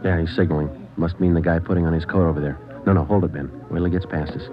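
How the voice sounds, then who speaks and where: An American man playing a no-nonsense, deadpan police sergeant and narrator in a vintage radio crime drama. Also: sits low, fast talker, close to an everyday voice. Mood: terse, watchful. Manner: deadpan, matter-of-fact. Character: no-nonsense male police sergeant, clipped and stern. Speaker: detective and narrator. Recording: muffled old radio recording with music.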